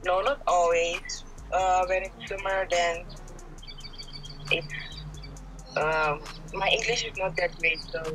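A woman's voice: fairly low pitch, fairly even intonation, slow, pauses often, fairly bright tone, faint music behind, poor audio. Hesitant young woman speaking English. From Netherlands. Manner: hesitant, searches for words. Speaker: video chat stranger.

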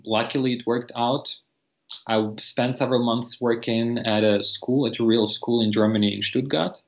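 A young man whose first language is Russian, a language teacher speaking earnestly on a video call with many fillers and restarts. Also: lowish voice. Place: room with teal wall. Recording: dull lo-fi video call audio.

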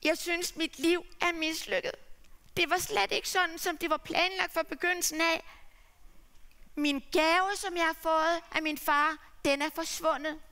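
A woman's voice: very high voice, steady tone, bright, forceful tone, long reverb tail, voice pushed up, full character voice. A woman voicing a lost, ashamed young man who has come home to his father. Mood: despairing. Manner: despairing, self-pitying.

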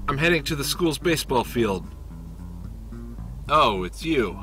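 A man playing a grudging, deadpan instructor. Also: mid-range voice, a little slow, over music, natural voice. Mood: grumpy, defensive. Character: unimpressed, grumpy male driving instructor and baseball coach. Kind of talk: comic game cutscene acting.

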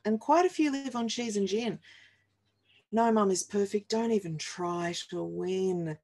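An Australian woman in her forties reads humorous verse at an unhurried pace. Her delivery is expressive and deliberate, with a wry, playful touch. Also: mid-range voice. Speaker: reader of a humorous picture book. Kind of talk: expressive read-aloud of comic rhyming verse. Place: small home office, indoors.

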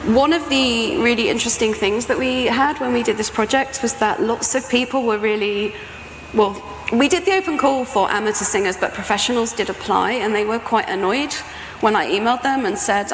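A woman's voice: fairly high pitch, moderate pace. A middle-aged woman with a British accent giving an informal, chatty conference talk on stage. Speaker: composer and sound art professor presenting. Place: stage in a large presentation tent or hall. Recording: echoey hall with background noise.

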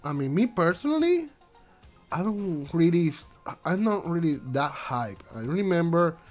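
A man's voice: fairly high pitch, sing-song, unhurried, darkish tone, soft background music. A man hosting a casual commentary vlog, stuffy and congested from allergies, rambling and restarting his sentences, with English that is not his first language. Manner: rambling, repeats himself, frequent restarts. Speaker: channel host.

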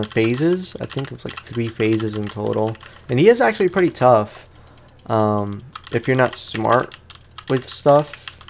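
A man's voice: medium pitch, gravelly, darkish tone, slight background noise. A man giving casual let's play commentary on a video game, chatting to viewers in slangy language. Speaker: gaming YouTuber.

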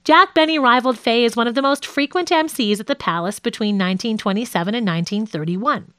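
A woman reading a nonfiction audiobook as a scripted narration, with measured, clearly phrased delivery. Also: on the high side, a bit bright, no room sound.

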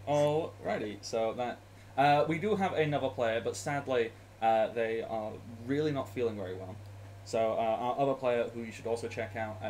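A man's voice: mid-range voice, a little mellow, slight background noise. Chatty man running a tabletop game. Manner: laughs often, rambling with fillers. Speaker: game master. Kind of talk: running a tabletop game over a video call. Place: small room at home. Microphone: headset.

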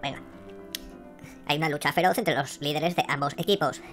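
A man voicing a hoarse, flustered female assistant, who rambles and then reads out rankings. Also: a little high, normal speed, a bit bright, faint music behind, pitched above the performer's own voice, close to an everyday voice. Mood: reporting. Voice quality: hoarse, croaky.

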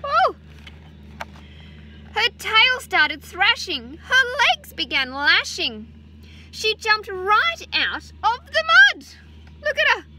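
An Australian woman in her thirties, a teacher gently reading a picture book aloud to children outdoors on a farm, adding chatty asides. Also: very high-pitched, background noise. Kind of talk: children's story time read-aloud. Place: outdoors on a farm beside a utility vehicle. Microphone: phone camera held close.

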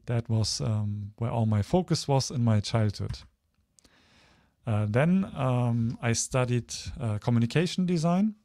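A man's voice: medium pitch, unhurried, warm tone, dry recording, clean studio sound. A man in his thirties from Germany giving an informal online slide presentation, hesitant and often searching for words. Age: 30s. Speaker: guest speaker, photographer.

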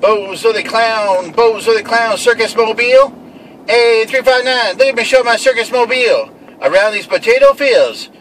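A man's voice: very high-pitched, normal speed, a bit bright. A man calling out on CB radio in a playful, repetitive way from inside a vehicle. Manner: playful, repetitive call-outs. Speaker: CB radio operator. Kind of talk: CB radio calling chat with repeated handles. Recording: distant mic in noisy vehicle.

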